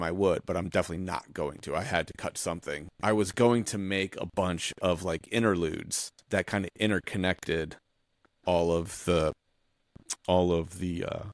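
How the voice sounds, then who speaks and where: A man's voice: sits low, dry recording, studio quality. A man co-hosting a podcast, brainstorming casually in loose, slangy, sweary language. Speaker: podcast co-host.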